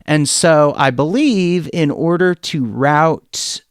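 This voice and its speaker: Male tutorial presenter, informal and keen, mid-pitched clear voice, unscripted, very little room sound. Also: slow pace, no room sound, studio quality. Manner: informal, enthusiastic, thinking aloud. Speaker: music production tutorial presenter. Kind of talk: casual software demo, talking off the cuff.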